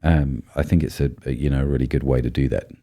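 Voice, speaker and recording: A man co-hosting a podcast in casual chat, his deep baritone made rougher by a cold, thinking aloud with many ums and restarts. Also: fairly even intonation, fast talker, dark tone. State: voice low and rough from a cold spell. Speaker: podcast co-host.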